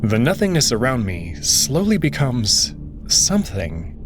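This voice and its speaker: A man reading dramatic first-person fiction in a dreamy, drawn-out way. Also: medium pitch, huge pitch swings. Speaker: reader voicing a first-person protagonist. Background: music.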